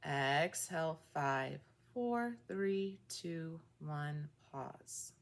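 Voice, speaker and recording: Slow, low-voiced woman guiding meditation. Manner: slow, calm counting of breaths. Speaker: meditation guide. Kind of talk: guided breathing meditation. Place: meeting room of a recovery group.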